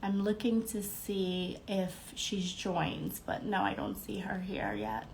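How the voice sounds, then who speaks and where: A woman's voice: medium pitch, very animated, takes it slowly, gravelly, a little hiss or hum, low-quality recording. A young woman hosting a live podcast stream, congested and a little nasal, bubbly and greeting viewers by name between fillers. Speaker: podcast host. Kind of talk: casual live-stream chat.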